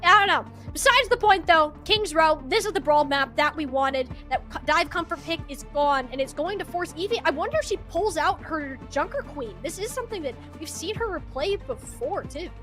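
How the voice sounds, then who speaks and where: A woman doing live esports commentary as a shoutcaster, with playful, chatty banter. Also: extremely high pitch, lively intonation, normal speed, few pauses. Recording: music behind the voice. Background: music.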